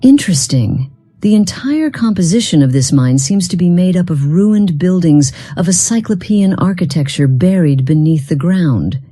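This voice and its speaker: A woman voicing a weary, once-proud companion in a fantasy game, speaking in a formal, measured and reflective way. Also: on the low side, lively intonation, dark tone, dry recording. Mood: solemn, thoughtful. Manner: formal, measured, solemn wording. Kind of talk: scripted dialogue for a fantasy game mod.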